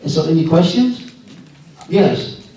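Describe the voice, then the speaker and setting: A man with a scratchy voice giving a folksy, earnest talk at a church and answering questions, halting often with fillers and restarts. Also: on the high side, lively intonation, mellow. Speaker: guest speaker. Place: church sanctuary. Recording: echoey hall from far off.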